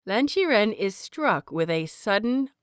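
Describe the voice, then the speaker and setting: A woman reading scripted fiction as an audiobook narrator, with measured, expressive phrasing. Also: medium pitch, clean tone, no room sound, clean studio sound.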